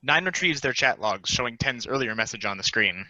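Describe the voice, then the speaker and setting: A man's voice: mid-range voice, lively intonation, a little fast, pushed and bright, no echo, rough recording. A man reading stage directions as a narrator in plain scripted narration.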